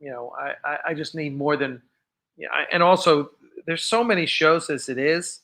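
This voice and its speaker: A man with an American accent hosts a casual livestream in a high, bright voice. He talks off the cuff, rambling and halting, often letting a thought trail off before starting on the next. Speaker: host of a live fan show. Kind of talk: casual unscripted livestream chat.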